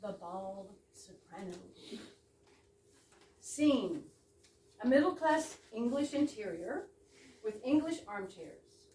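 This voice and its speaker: A woman introduces a play and reads stage directions at an unhurried pace. Her voice is high and varied, picked up at a distance in a roomy space. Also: lively intonation, darkish tone. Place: indoor performance space with audience. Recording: echoey room from far off, faint noise.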